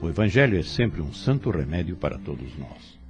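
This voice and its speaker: A man playing a wise, serene spirit instructor in an audio drama, teaching in a solemn, measured way. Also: sits low, very animated, dull audio. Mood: solemn, instructive. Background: music.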